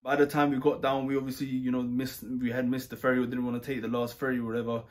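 A man chatting casually about a trip, rambling and relaxed with many fillers and restarts. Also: mid-range voice, fast talker. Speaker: travel vlogger. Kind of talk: casual chat.

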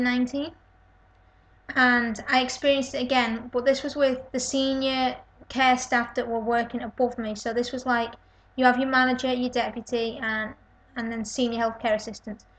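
A woman's voice: a little high, fairly bright tone, low-quality recording. A woman in her twenties with a Lancashire-type northern English accent, tired and rambling in a casual webcam video response from her kitchen. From North West England. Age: 20s. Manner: rambling, self-deprecating. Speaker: vlogger. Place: kitchen at home, indoors. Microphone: webcam built-in mic.

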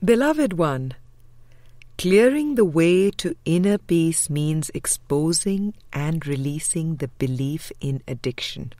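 A woman reading a spiritual audiobook with measured, deliberate phrasing. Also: low-pitched, expressive, mellow, dry recording. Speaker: audiobook reader.

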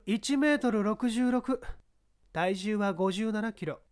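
A man voicing a brazen young woman, a haughty and sharp-tongued scout, in a dramatised audiobook. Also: high-pitched, takes it slowly. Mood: curt, proud.

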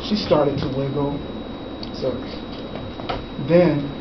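A man reading a picture book aloud to children as its author, conversationally, pausing to explain the pictures and ask questions. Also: fairly high pitch, steady tone, lo-fi sound. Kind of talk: storybook read-aloud with casual asides. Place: school classroom, indoors. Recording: echoey, noisy classroom, far from mic. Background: clear room noise with children present.

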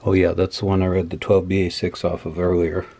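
A man giving a casual, unscripted hands-on demonstration in a matter-of-fact, measured way at an indoor workbench. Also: sits low, little pitch movement, vocal fry, dark tone, a little hiss or hum. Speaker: host of an electronics teardown video.

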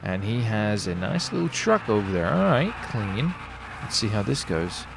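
A man's voice: lowish voice, very animated, moderate pace, vocal fry, noisy background. A man commentating casually on his gameplay as a streamer, with slangy, sweary talk. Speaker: streamer hosting a gaming video.

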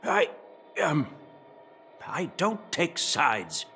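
Slow-talking kindly old grandfather. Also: on the high side, huge pitch swings, pushed and bright, slight background noise, full character voice. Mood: hesitant. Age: older. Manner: polite, hesitant, apologetic. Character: kindly, humble old grandfather, elder swordmaster. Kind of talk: video game voice acting.

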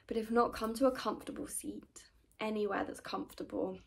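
A woman leading a guided meditation in a gentle, soothing manner, often trailing off into a breathy whisper. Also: on the high side, fairly even intonation, a little fast. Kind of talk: guided meditation, soft-spoken and unhurried.

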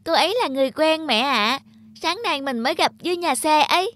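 A woman's voice: sits high, expressive, fairly quick, a bit bright, no room sound. A woman voicing a sweet, polite little schoolgirl in a cartoon, childlike and innocent. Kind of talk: animated moral tale dubbing.

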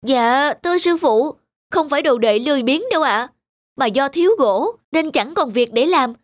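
A woman voicing a young male carpenter's apprentice in a cartoon, respectful, eager and excitable. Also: sits high, moderate pace, dry recording, studio quality. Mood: deferential. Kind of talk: cartoon folk-tale dubbing. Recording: music behind the voice.

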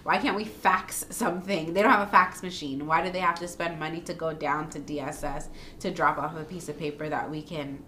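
A woman's voice: low voice, steady tone, fairly quick, sharp tone, slight background noise. A young woman hosting a casual podcast, talking frankly with many fillers. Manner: chatty, frank, with frequent fillers. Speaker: podcast host, daycare owner. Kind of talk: casual chat. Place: small home room.

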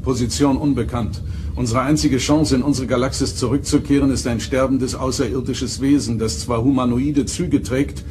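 An actor playing a commanding starship captain in an audio drama, formal, insistent and probing. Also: male voice, medium pitch, steady tone, moderate pace, noisy background, close to an everyday voice. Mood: grave, formal. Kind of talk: German audio drama acting.

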